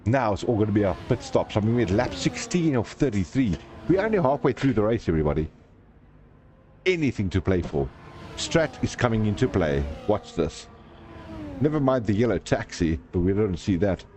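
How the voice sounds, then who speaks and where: A man's voice: on the low side, expressive, moderate pace, darkish tone, a little hiss or hum. Jokey male sim racing commentator. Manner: jokey, chatty, reads viewer comments aloud. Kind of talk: live race commentary with chat banter.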